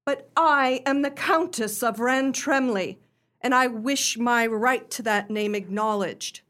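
Actress, dignified countess, earnest, formal, fairly high voice, radio drama. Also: female voice, slow pace, a bit bright. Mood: proud, resolute. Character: wronged countess, proud, earnest, dignified, pleading. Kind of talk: radio play acting.

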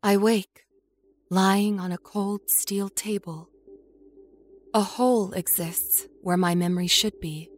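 A woman narrating a first-person horror story with deliberate, measured, dramatic intensity. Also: mid-range voice, steady tone, a little hiss or hum. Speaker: storyteller, voice actor.

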